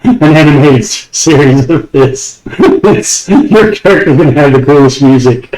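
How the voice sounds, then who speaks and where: A man's voice: fairly high pitch, sing-song, a little mellow, rough recording. Laughing man running a tabletop game. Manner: laughs a lot, quick asides. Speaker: game master. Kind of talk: casual tabletop game chat.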